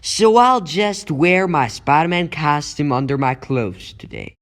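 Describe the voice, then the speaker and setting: A man voicing an earnest high-school boy in a comic book dub, with a boyish, sheepish manner. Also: expressive, measured pace, fairly bright tone. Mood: private musing. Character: earnest, youthful male high-school student.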